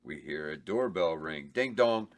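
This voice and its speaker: A man's voice: extremely low pitch, lively intonation, unhurried. A man reading the stage directions aloud at a table read, in a plain matter-of-fact way.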